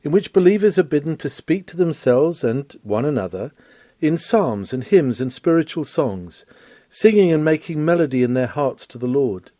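A man's voice: fairly high pitch, expressive, warm tone, no room sound, studio quality. Man reading an old sermon aloud. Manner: measured, declamatory reading with swelling emphasis. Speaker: reader of a historical sermon.

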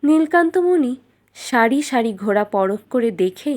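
High-pitched woman narrating a fairytale. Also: lively intonation, moderate pace, a little mellow. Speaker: storyteller, narrator. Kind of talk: scripted children's fairytale narration.

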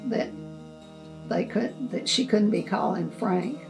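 Older woman, gravelly voice, unhurried, soft music under her. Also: medium pitch, lively intonation. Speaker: interviewee, mother-in-law. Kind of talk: documentary interview recollection. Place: indoors in leather armchair.

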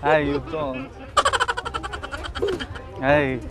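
A man's voice: a little high, little pitch movement, drawn-out words, very noisy. A young man from South Korea with a Korean accent, speaking hesitant, broken English in casual chat. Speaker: husband. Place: outdoor street market.

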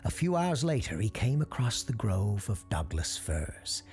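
A woman narrating a ghost story in a hushed, often whispered delivery. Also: rumbling low, big pitch swings, a little slow, soft background music.

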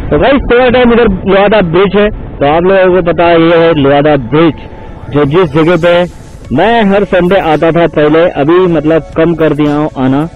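Young male motovlogger, high-pitched and chatty, tinny phone-like audio with music under it. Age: young adult. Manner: chatty, keeps saying "guys", pleads for support. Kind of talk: casual ride commentary. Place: outdoors riding a motorcycle on country roads. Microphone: action camera on the bike.

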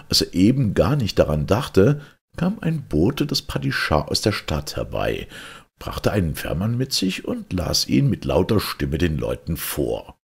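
A man reading a fairy tale aloud as an expressive storyteller. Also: deep voice, vocal fry, breathy edge.

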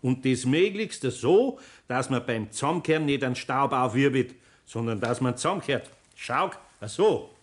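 An actor playing a patient but firm older master carpenter with a Bavarian accent, speaking in a homely, deliberate way in a 1960s radio play. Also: male voice, mid-range voice, expressive, lightly acted. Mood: patient, instructing.

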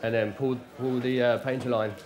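A service technician making brief, offhand remarks while working on stage. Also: male voice, mid-range voice, little pitch movement, normal speed, darkish tone, lo-fi sound.

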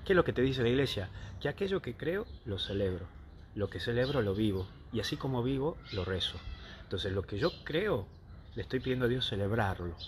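A man's voice: medium pitch, slight background noise. A man in his thirties with an Argentine accent, a priest explaining church teaching in a chatty, joking way to a phone held at arm's length. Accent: Argentine Spanish. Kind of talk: casual catechesis to camera. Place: outdoor courtyard, then indoors.

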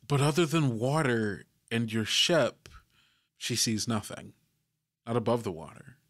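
A man working as game master, narrating a tabletop adventure in halting, deliberate phrases. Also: medium pitch, animated, fairly bright tone.

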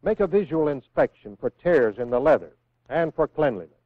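An American man reading scripted instructions in a measured, clearly enunciated way, as the narrator of a 1960s military training film. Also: a little high, animated, dry recording. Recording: phone-like vintage 1960s film soundtrack.

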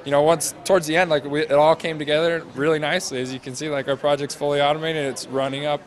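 A man in his twenties, a student interviewee, explaining a project off the cuff in casual, halting phrases into a handheld mic. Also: a little high, flat delivery. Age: 20s. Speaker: interviewee, senior engineering student presenting a project. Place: large glass-walled university hall or lobby. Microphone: handheld interview microphone held out to him.

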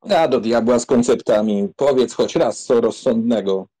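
An actor playing a proud, hot-tempered young count in a dramatised audiobook, haughty and sardonic. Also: male voice, medium pitch, normal speed, warm tone, slightly rough, natural voice, low-quality recording. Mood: mocking, irritated.

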